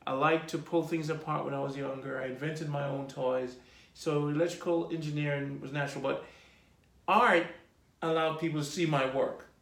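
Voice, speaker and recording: A man in his forties, an artist telling his life story in a relaxed, rambling way during an interview. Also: fairly high pitch, moderate pace, vocal fry. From Jamaica. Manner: rambling lists, relaxed and reflective. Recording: echoey room.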